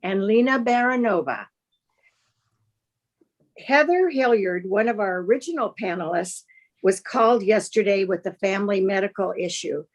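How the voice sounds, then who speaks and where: An older woman opens a panel with measured, gracious formality. She speaks in a middle-pitched voice, and it comes through a video call microphone. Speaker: panel moderator.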